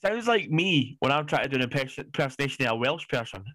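A man's voice: mid-range voice, sing-song, quick pace, fairly bright tone, dry recording, clean studio sound. A man in his forties co-hosting a film podcast, ranting bluntly and sarcastically with plenty of swearing. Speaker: podcast co-host. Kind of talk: casual chat.

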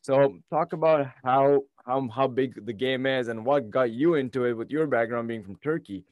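A man's voice: mid-range voice, normal speed, darkish tone, no echo. A man hosting an interview podcast, talking conversationally and asking rambling questions. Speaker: podcast host.

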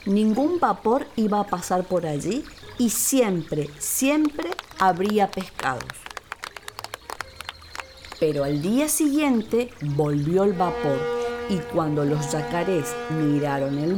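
Slow, animated woman storyteller. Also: lowish voice, background noise. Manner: expressive, deliberate, theatrical. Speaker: actress, storyteller. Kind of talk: dramatised narration of a children's story.

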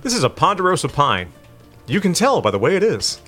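A man casually chatting and joking while playing a video game on a podcast, reacting loudly to the screen. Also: a little high, a little fast, faint music behind. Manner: jokey, animated reactions. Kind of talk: casual chat while gaming.

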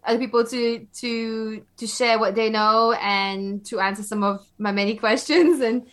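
A woman's voice: a little high, fairly even intonation, measured pace. A woman in her forties from Belgium, a podcaster telling her story as an interview guest in a chatty, giggly way. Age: 40s. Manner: chatty, laughs often, many fillers. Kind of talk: casual interview.

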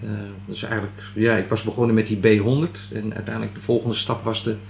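A man's voice: on the low side, flat delivery, fast, vocal fry. A man chatting casually as an interviewed musician, in a hesitant, meandering way. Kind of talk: casual chat. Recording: echoey room with faint noise.

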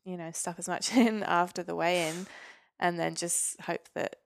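Low-voiced woman from Norway chatting informally. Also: steady tone, normal speed, creaky, no echo. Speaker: podcast guest, physiotherapist and weightlifter. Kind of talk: casual interview chat.

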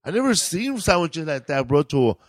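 A man's voice: fairly high pitch, very animated, normal speed, no echo, studio quality. A man hosting a casual podcast, chatting in a laid-back, slangy, repetitive way. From California. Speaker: podcast host. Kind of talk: casual comedic podcast chat.

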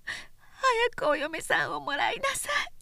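A woman's voice: very high voice, sing-song, takes it slowly, gravelly, pushed and bright, no room sound, voice pushed up, exaggerated voice. A woman reading a story, voicing an anguished, drunk young woman in a thin, wavering, old-fashioned feminine voice. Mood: tipsy pleading.

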